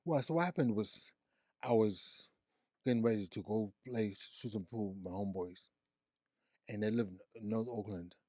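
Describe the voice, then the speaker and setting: A man's voice: fairly low pitch, moderate pace, mellow, dry recording, studio quality. A man in his forties from Zimbabwe, speaking as a guest telling his life story in a casual interview, often repeating words and restarting. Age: 40s. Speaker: guest, psychiatric nurse practitioner.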